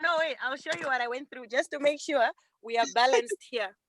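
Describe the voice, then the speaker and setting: An excited, giggly woman chatting as a guest on a video call from her phone. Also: high voice, a little fast, music in the background. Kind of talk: casual video-call chat. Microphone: phone on a tripod on a video call.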